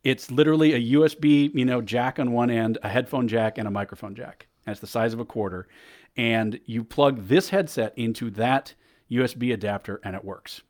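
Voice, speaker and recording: A man with a bassy voice chatting casually on a podcast, rambling with restarts, recorded on a headset mic. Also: fairly quick, a little mellow. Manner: rambling, frequent restarts and fillers. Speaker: podcast co-host and event tech guest. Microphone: over-the-ear headset mic.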